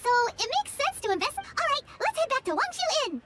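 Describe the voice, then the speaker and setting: A woman voicing a tiny, chirpy, childlike fairy companion with a squeaky voice in a video game. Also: big pitch swings, a little fast. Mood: curious, explaining. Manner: perky, speaks of self in third person. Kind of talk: video game character acting. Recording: loud background music.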